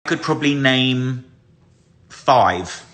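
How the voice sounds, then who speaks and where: A man speaking directly to his followers in a measured personal statement, with careful wording and many pauses. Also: medium pitch, lively intonation, fairly bright tone.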